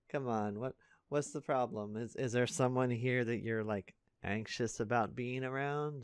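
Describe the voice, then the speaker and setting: A man playing an earnest, caring high school senior in an intimate audio roleplay, speaking casually and haltingly to a best friend. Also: clean tone, no room sound, lightly acted. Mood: teasing, coaxing. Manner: casual, halting, stammering, conversational.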